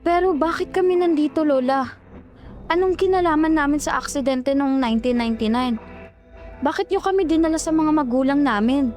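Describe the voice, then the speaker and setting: A woman's voice: high-pitched, a little fast, a little mellow, soft background music, pitched above the performer's own voice, lightly acted. A woman acting an alarmed young female call center agent, agitated and exclaiming, in a horror audio drama. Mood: upset, questioning.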